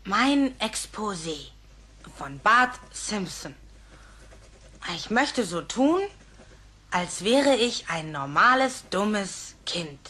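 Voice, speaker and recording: A woman's voice: medium pitch, very animated, extremely slow, long pauses, bright, forceful tone, husky, heavily acted. A woman voicing a cheeky, evasive ten-year-old schoolboy in a German cartoon dub. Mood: reluctant, glum.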